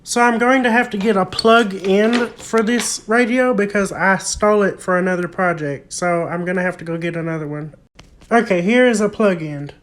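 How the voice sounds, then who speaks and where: A man's voice: high voice, normal speed, a bit bright, a little hiss or hum. A young adult man giving a casual, hands-on repair demonstration, explaining each step as he goes. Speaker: hobbyist vlogger. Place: indoor room at home, at a wooden table. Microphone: handheld phone close by.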